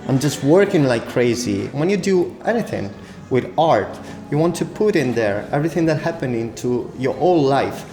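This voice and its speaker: A man's voice: mid-range voice, lively intonation, normal speed, darkish tone, soft background music. Male musician talking in an echoey room. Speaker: musician, interviewee. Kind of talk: casual interview.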